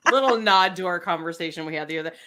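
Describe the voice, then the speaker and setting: A woman co-hosting a casual podcast, excitable and playful, often laughing and joking around. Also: lowish voice, racing. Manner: bubbly and excitable, lots of laughs and playful put-on voices. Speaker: podcast co-host. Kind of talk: casual live-stream chat.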